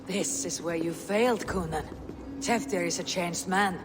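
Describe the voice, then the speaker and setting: An actress playing a stern, resolute Viking warrior in a video game cutscene, grave, blunt and commanding. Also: female voice, on the low side, measured pace, over music, lightly acted. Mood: cold, accusing.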